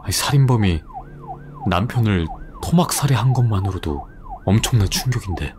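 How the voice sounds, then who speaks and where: A man doing a gruff, weary veteran detective. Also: lowish voice, animated, moderate pace, background noise, lightly acted. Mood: grim, appalled.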